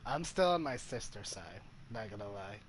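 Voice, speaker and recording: A man doing casual commentary on a video game playthrough, halting and thinking aloud as he goes. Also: fairly low pitch, sing-song, noisy background. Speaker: gamer streaming playthrough. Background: game music.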